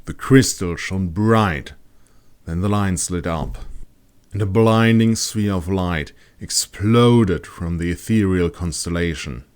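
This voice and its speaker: A man reading scripted fantasy audiobook narration in a dramatic, deliberate storytelling manner. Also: deep voice, a little mellow, clear, resonant tone.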